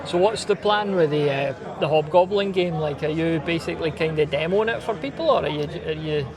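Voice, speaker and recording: A man's voice: on the high side, brisk, a crowd in the background. A man, chatting casually as a podcast host and interviewer. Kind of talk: casual chat.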